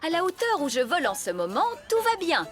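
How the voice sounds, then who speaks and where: A woman's voice: sits high, wildly swooping pitch, a little fast, fairly bright tone, soft background music, heavily acted. A woman playing a prim, bossy nanny fairy in a cartoon, lecturing in a pompous, fussy manner. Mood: stern, lecturing. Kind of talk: French-language cartoon dubbing.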